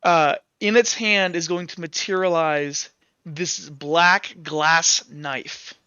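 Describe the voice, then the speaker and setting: Bright, clear, high-voiced man running a game. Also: fairly even intonation, no room sound, muffled recording. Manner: halting, casual, swears freely. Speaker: game master. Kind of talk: improvised tabletop game narration.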